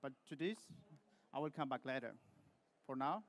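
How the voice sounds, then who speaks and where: A man from Peru delivers a rehearsed barista competition routine to a judging panel. His delivery is measured and steady, with short pauses between phrases, and his voice sits at an ordinary middle pitch. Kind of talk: scripted competition presentation to judges.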